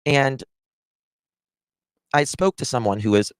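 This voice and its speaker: A man's voice: mid-range voice, slow pace, clear, resonant tone, dry recording. Chatty man, dry close sound. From Southern United States. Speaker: livestream host. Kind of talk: casual livestream commentary.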